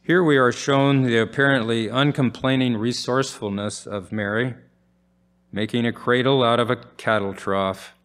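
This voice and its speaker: An older man, around his seventies, preaching in a measured, formal way as he reads from a script at a church pulpit microphone. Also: medium pitch, fairly bright tone. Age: older, about 70s. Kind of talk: sermon read from a script, with scripture readings. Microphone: pulpit microphone on a gooseneck stand.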